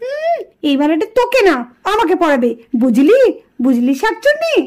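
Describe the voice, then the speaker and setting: Woman playing a jealous mother spirit, very high pitch, insistent, scolding, cartoon melodrama. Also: wildly swooping pitch, moderate pace, heavily acted. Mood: indignant. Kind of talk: puppet doll cartoon dubbing.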